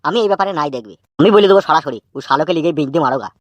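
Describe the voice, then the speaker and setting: A man's voice: fairly high pitch, animated, very fast, no room sound. An actor voicing an anxious, scolding old cartoon father with a rural West Bengal Bengali accent, nagging and repeating words. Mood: exasperated scolding. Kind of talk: comic cartoon dubbing.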